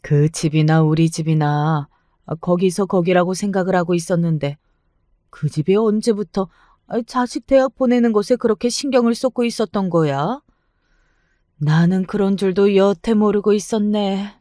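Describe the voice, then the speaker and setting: Man doing middle-aged mother, doting, pushy, slow coaxing delivery, smooth clear voice. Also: high voice, warm tone, no room sound, clean studio sound, lightly acted. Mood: unsettled.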